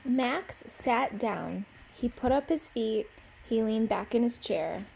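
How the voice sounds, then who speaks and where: A woman's voice: fairly high pitch, slow pace, gravelly, a little hiss or hum, rough recording. A woman teacher reading a picture book aloud expressively to children. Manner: expressive, simple phrasing for young children.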